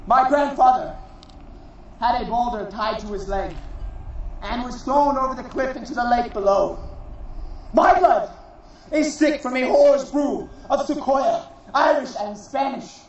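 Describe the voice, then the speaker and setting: Actor playing a proud warrior, high-pitched and echoing. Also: male voice, slight background noise. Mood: solemn, proud. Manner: solemn, declamatory, deliberate phrases rising to shouts. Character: proud, defiant warrior man of mixed ancestry, preaching. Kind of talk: theatrical dramatic monologue. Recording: distant, very echoey, muffled recording.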